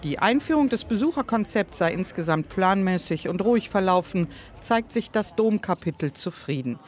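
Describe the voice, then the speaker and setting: A man delivering a formal, scripted news report in an even manner. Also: sits high, moderate pace.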